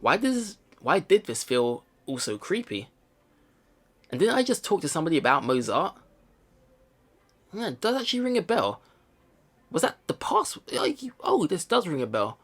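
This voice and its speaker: A man's voice: high voice, huge pitch swings, normal speed, pauses often, a bit bright, husky. A man reading a visual novel aloud and commenting as he plays, thinking aloud in a chatty way. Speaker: let's player. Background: visual novel game music.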